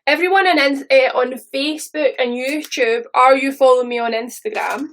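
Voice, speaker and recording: A woman's voice: sits high, normal speed, a bit bright. A woman in her thirties with a Scottish accent, chatting casually and rambling on a live stream, swearing now and then. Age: 30s.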